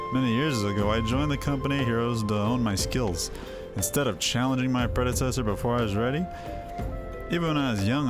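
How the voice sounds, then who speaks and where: A man's voice: medium pitch, natural voice. A man playing a haughty desert tribe chief, music behind. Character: proud, stern male desert tribe chief, veteran hunter. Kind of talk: video game voice acting.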